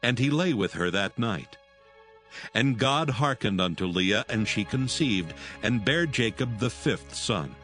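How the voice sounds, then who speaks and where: A man's voice: fairly low pitch, expressive, a little slow, a bit bright, faint music behind. A man narrating scripture from a script in a solemn style.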